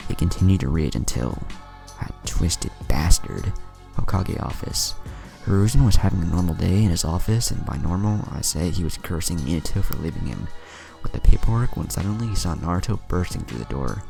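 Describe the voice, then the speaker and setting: A man reading a fan story aloud as a storyteller, with an even, unhurried delivery. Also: sits low, vocal fry. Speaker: fan fiction reader. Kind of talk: storytelling narration. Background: soft background music.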